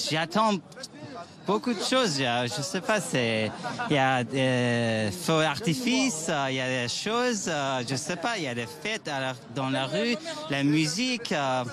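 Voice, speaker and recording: Man, hesitant, slightly high voice, outdoor street interview. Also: expressive, slow pace. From Canada. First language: English. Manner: hesitant, searching for words. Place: outdoors on a busy avenue at night. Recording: street crowd noise behind.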